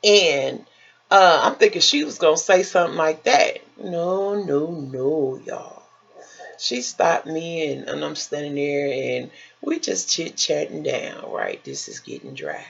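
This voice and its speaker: An American woman from Chicago with a husky, gravelly voice, telling personal stories in a casual, chatty, emphatic and folksy manner, repeating phrases for effect. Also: low-pitched, animated, a little slow, muffled recording. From Chicago, United States.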